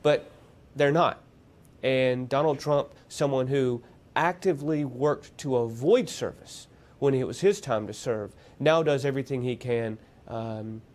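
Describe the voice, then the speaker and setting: Vet giving a TV interview, mid pitch, halting with lots of ums. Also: male voice. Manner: hesitant, many fillers, grows forceful. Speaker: interview guest. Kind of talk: political interview on television.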